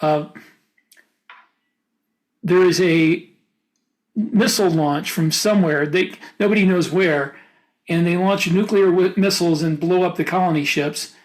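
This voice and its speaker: A man with a notably high voice talks casually as a podcast co-host. He gives firm opinions on what he has read. His phrases often halt and restart as he works out the thought. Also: rough recording. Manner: opinionated, halting mid-thought. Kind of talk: casual book discussion.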